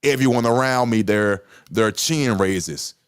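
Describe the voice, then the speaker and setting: Deep-voiced man, passionate podcast talk. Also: unhurried, clear, resonant tone. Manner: passionate, emphatic, repeats himself. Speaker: podcast host. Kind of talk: casual monologue.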